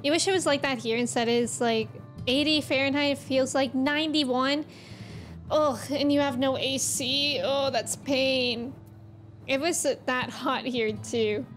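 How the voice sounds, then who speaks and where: A woman with a Midwestern American accent chatting casually and excitably with viewers as she streams a game. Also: sits high, unhurried, faint music behind. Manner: chatty, excitable, laughs easily. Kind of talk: casual livestream chat with viewers.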